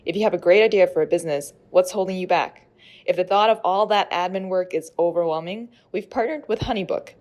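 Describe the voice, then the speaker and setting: A woman giving a scripted sponsor read with an upbeat, persuasive pitch. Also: a little fast, darkish tone. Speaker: ad reader.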